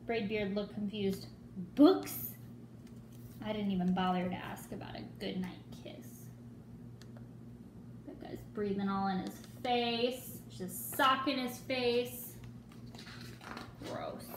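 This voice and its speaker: A woman giving an expressive dramatic reading of a children's picture book, dropping into hushed asides. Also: mid-range voice, slow, pauses often. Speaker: storyteller. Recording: echoey room with faint noise.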